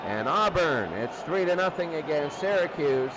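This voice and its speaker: A man calling football play-by-play, getting excitable when the action picks up. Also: on the high side, normal speed, a crowd in the background. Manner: excitable on big plays. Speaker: play-by-play sports commentator. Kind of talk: American football game commentary. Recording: clear background noise.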